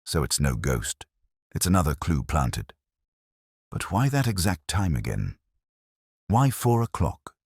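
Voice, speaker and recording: A man's voice: sits low, very animated, a little slow, long pauses, darkish tone, husky, no room sound, studio quality, heavily acted. A man playing an earnest, anxious police sergeant in a mystery story, deferential and plain-spoken with his superior. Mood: uneasy, puzzled.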